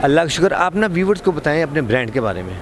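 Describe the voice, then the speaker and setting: A man's voice: a little high, big pitch swings, rapid-fire, noisy background. A man in his forties with a Pakistani accent, interviewing on location as a TV reporter. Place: large indoor expo hall. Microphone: handheld reporter microphone. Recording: busy expo hall chatter behind.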